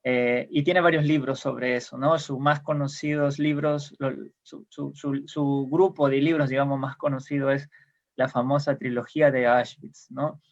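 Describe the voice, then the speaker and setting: A man's voice: medium pitch, flat delivery, normal speed, muffled recording. A man from Peru, a guest explaining ideas in casual conversation, hesitant with many fillers and restarts, heard over a video call.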